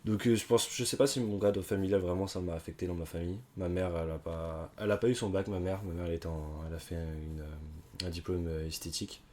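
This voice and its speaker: A man in his twenties, born in Canada and raised in France, telling his story as a podcast guest in a hesitant, unhurried way. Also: sits low, steady tone, a little mellow. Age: 20s. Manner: hesitant, many fillers and restarts, unhurried. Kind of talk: casual conversation.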